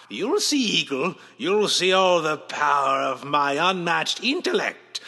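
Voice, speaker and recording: A man's voice: on the high side, pushed and bright, in a large hall, heavily acted. A man playing a mad, vengeful, greedy professor villain in a superhero radio drama, theatrically ranting and gloating. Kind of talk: old-time superhero radio drama acting.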